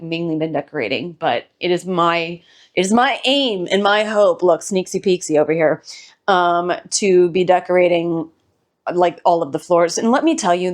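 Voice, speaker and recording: Woman host, slightly low, hoarse and recovering; bubbly, rambling home-tour chat. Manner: bubbly, rambling, self-deprecating. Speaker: host of a home decor channel. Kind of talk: casual chatty home tour.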